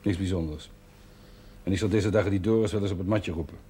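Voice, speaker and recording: An actor playing a blunt but kindly older village doctor in a television period drama, curt and paternal. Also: male voice, sits low, fairly even intonation, quick pace, warm tone, breathy edge. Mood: gruff, fatherly. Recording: noisy old television soundtrack.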